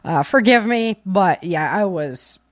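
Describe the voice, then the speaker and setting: A woman's voice: mid-range voice, animated, slow, no echo, clean studio sound. A woman chatting casually and informally as a live podcast host, with breezy, slangy talk. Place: home office.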